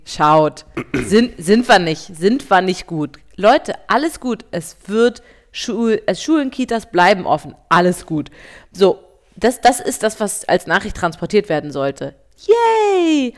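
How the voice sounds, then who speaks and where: A woman in her thirties co-hosting a podcast as a parent, talking fast and getting worked up, sometimes turning sarcastic. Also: mid-range voice, big pitch swings. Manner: fast, agitated, sarcastic when upset. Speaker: podcast co-host. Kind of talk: casual podcast chat.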